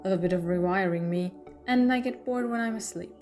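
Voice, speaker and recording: A woman's voice: medium pitch, fast, darkish tone, soft background music, lightly acted. A woman playing a playful, restless alien time traveller with a chatty, quirky manner in an audio drama. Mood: breezy, friendly.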